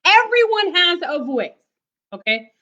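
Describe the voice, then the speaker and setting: Loud, bright-voiced woman vlogger. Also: very high voice, sing-song, unhurried. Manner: emphatic, keeps asking "okay?". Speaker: vlogger giving motivational tips. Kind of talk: casual motivational talk to camera.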